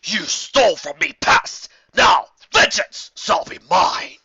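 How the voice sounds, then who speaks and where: A man's voice: sits high, big pitch swings, gravelly, husky, muffled recording, pitched above the performer's own voice, exaggerated voice. A man voicing a huge vengeful dragon in a fantasy storybook, stretching out his menacing words. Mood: vengeful fury. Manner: shouting, drawn-out menacing words.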